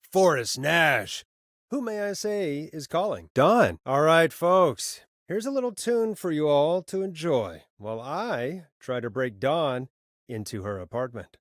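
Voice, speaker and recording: A man's voice: a little high, no echo, clean studio sound. An actor playing a wry radio DJ in a video game, a man who talks in a conversational way. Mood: smooth on-air patter. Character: Forrest Nash, wry late-night radio DJ, a grown man. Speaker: radio host.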